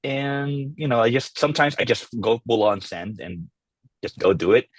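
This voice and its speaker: A man raised in the Philippines, a guest chatting casually in an interview, halting with many fillers and restarts. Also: mid-range voice, animated, no room sound. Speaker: podcast guest, content creator.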